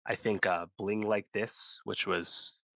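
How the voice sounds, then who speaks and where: A man's voice: on the low side, steady tone, slow, vocal fry, sharp tone, dry recording. A man co-hosting a music review podcast, talking casually and rambling with lots of fillers and restarts. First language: English speaker, not Korean. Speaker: podcast co-host.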